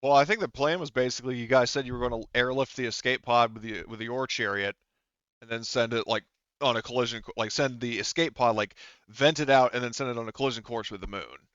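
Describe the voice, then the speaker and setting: A man narrating a tabletop roleplaying game as game master, improvising in a halting, hedging way. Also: medium pitch, fairly bright tone, smooth, no room sound, muffled recording.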